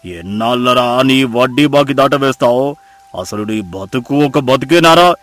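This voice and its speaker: A man's voice: medium pitch, lively intonation, unhurried, fairly bright tone. A man playing a cruel, swaggering rich village moneylender in a moral story, bullying and mocking as he threatens. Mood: menacing, mocking. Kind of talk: acted moral-story dialogue. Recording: music behind the voice.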